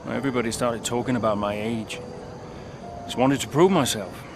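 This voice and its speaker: A man voicing a proud but rueful veteran racing driver in a game cutscene, his tone low-key and measured. The voice sits at an ordinary male pitch. There is steady background noise under it. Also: lightly acted. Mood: regretful.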